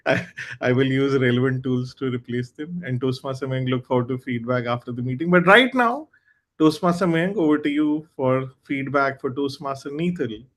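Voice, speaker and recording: A man's voice: medium pitch, normal speed. Man, general evaluator, appreciative, online meeting. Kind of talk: meeting facilitation.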